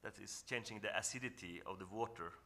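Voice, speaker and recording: A man from northern Norway with a Norwegian accent gives an earnest, reflective talk on a panel, often pausing and restarting as he searches for English words. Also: fairly low pitch, pushed and bright. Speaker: panel speaker. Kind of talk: reflective presentation at a symposium.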